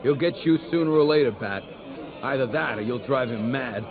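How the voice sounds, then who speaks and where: An actor playing an ingratiating, pleading criminal informant who protests and turns bitter, in a dramatic video game style. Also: male voice, mid-range voice, moderate pace, phone-quality sound, a loud crowd, lightly acted. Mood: bitter accusing. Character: pleading, wheedling jailed criminal informant, adult man.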